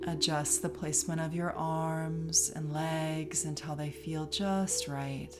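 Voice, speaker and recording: A woman leading a guided relaxation as a yoga teacher, calm and soothing, leaving long pauses between phrases. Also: low voice. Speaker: yoga nidra instructor. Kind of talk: guided meditation, relaxation instruction. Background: music behind the voice.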